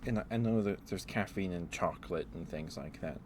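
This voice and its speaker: A man streaming ASMR, chatting in a halting, rambling way through casual personal stories. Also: low voice, noisy background.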